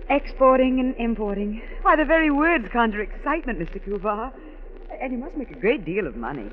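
A woman's voice: a little high, big pitch swings, a little fast, a little hiss or hum. An actress playing a scheming young woman who gushes with feigned innocent interest in a 1940s radio drama. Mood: gushing, feigned innocence. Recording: tinny old radio recording.

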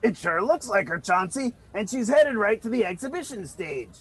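A man voicing an earnest, excitable little radio reporter in a scripted comedy radio drama. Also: high voice, normal speed, husky. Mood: curious.

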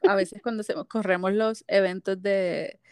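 A woman's voice: mid-range voice, flat delivery, measured pace, darkish tone, smooth, no room sound, studio quality. Bubbly woman hosting a Spanglish chat. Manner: bubbly, laughs a lot, many fillers. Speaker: host. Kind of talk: casual interview chat, Spanglish.

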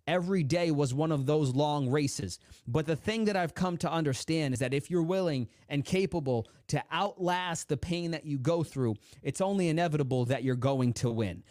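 A young adult man with a somewhat high-pitched voice tells his story as a podcast guest, slipping into his parents' and grandfather's voices as he quotes them. The recording is dry and close, with no room sound. He talks like a motivational speaker, driving each point home. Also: dry recording. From United States. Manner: animated storyteller, acts out quoted dialogue with big pitch swings.

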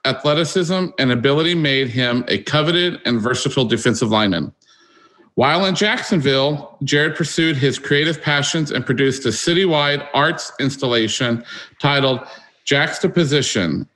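A man's voice: mid-range voice, fairly bright tone, rough recording. A man hosting an interview podcast, reading introductions and putting questions to his guest. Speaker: podcast host.

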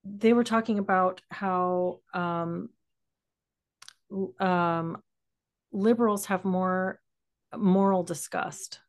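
Lower-pitched American woman, thinking aloud. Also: fairly even intonation, slow, long pauses. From Texas, United States. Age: middle-aged. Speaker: podcast host. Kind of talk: reflective conversational discussion.

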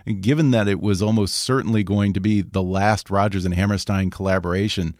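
Attentive male interviewer, low voice. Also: fairly even intonation, brisk, a little mellow, no room sound, clean studio sound. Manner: attentive, frequent affirming "yeah" and "mm-hmm". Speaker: interviewer host. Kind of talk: conversational interview questions.

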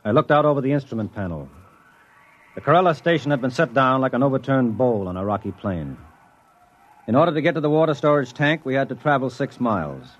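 A man's voice: mid-range voice, expressive, quick pace, a little hiss or hum, lightly acted. An actor playing a rugged, determined spaceman and narrator in a 1950s radio drama. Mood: grim, measured. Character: rugged, determined spaceman narrating his dangerous planetary expedition. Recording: dull old radio recording.

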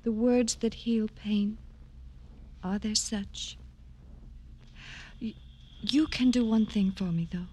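An actress playing a gentle, grieving young woman, soft-spoken, earnest and deliberate, in old-time radio drama style. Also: medium pitch. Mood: quiet grief.

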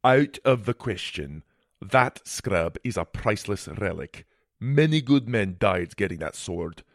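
A gruff, proud old wizard, played by a man who scoffs and talks bluntly. His low voice swings widely in pitch, and the recording is dry and close. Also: lively intonation, a little slow, dry recording. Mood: brusque.

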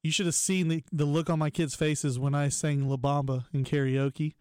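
A man's voice: fairly high pitch, flat delivery, normal speed, darkish tone, smooth, dry recording, clean studio sound. A man hosting a casual sports podcast, talking in a playful, teasing and enthusiastic way. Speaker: podcast host.

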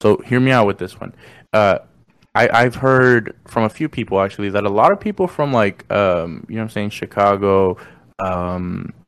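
A man's voice: lowish voice, moderate pace, clean tone, no echo. Slangy young man hosting a podcast. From Mexican American. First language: Spanish. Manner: slangy, says "you know what I'm saying" a lot, animated. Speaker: podcast host. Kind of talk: casual podcast chat.

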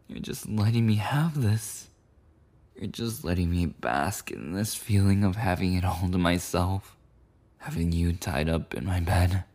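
Man acting a husky, obsessive yandere boyfriend. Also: low voice, a little mellow, heavily acted. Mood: adoring, needy. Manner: breathy rambling, often dropping into whispers. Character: clingy, obsessive, insecure possessive yandere boyfriend. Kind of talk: intimate boyfriend ASMR roleplay.

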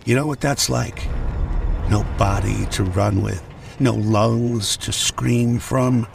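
Slow, gravelly, menacing mercenary villain. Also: male voice, mid-range voice, fairly even intonation, recorded from a distance, background noise. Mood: bitter taunting. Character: cruel, mocking male half-machine mercenary villain. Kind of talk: radio drama acting.

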